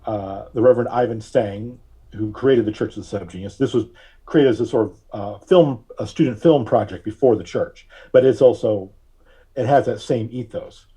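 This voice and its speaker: A man speaking as a podcast guest in a conversational interview, thoughtful and often halting as he searches for words. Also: fairly low pitch, warm tone, slightly rough. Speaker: podcast guest, artist and reverend.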